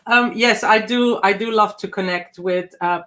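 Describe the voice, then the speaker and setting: A woman from the Netherlands, a guest on a live video call, speaking in a warm, reflective way that sometimes slows and softens. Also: medium pitch. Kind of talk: casual online interview.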